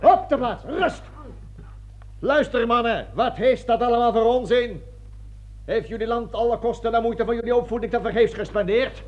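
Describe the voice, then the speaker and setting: An actor in a radio play voicing a self-important American army colonel who stammers, cajoles and barks orders. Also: male voice, very high-pitched, moderate pace, dull audio. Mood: exasperated, commanding. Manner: pompous, stammering, cajoling, switching between whispers and barked orders. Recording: noisy old radio recording with hum.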